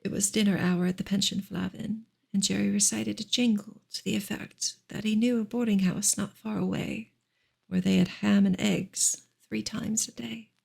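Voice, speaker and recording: A woman reads narrative prose in a hushed ASMR style. Her whisper is extremely breathy and airy, with a strong crackly, fried grain. The delivery is gentle, measured and unhurried. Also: medium pitch, fairly even intonation. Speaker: ASMR reader of a classic novel. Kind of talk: whispered ASMR audiobook reading.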